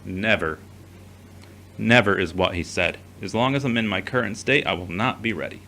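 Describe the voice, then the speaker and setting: A man's voice: low-pitched, moderate pace, vocal fry, pushed and bright, slight background noise, heavily acted. A man playing a conflicted, earnest soul who wrestles with God and himself in an audio drama. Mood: somber, earnest. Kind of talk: scripted audio drama acting.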